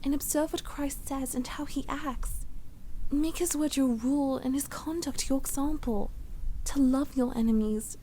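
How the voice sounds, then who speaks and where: A woman playing a patient, pious schoolgirl who speaks softly and earnestly in an audio drama. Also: high-pitched, steady tone, a little slow, a little hiss or hum. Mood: gently earnest.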